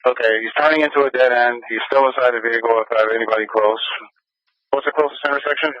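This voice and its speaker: Man, American accent, police dispatcher, rapid clipped delivery, repeats details, dry muffled scanner radio. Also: mid-range voice, steady tone, no room sound. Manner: repeats key details in clipped official phrases. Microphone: radio transmission.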